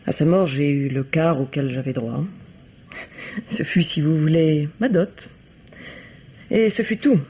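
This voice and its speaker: A dull, lo-fi radio mystery recording carries the husky voice of a haughty bourgeois woman. She is cynical and coolly disdainful, choosing her words with measured precision. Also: sits low, mellow. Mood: bitter, disdainful. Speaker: radio drama actress. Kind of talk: French radio mystery acting.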